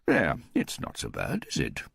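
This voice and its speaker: A man's voice: very deep voice, huge pitch swings, moderate pace, vocal fry, a bit bright, breathy edge, no echo, clean studio sound, heavily acted. A man voicing a sardonic, teasing woman pathologist with a mischievous, wry manner in an audiobook.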